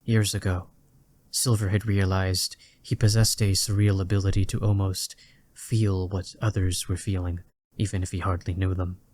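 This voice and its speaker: Low, steady male story narrator. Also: slight background noise. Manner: measured, literary storytelling. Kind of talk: scripted dramatic story narration.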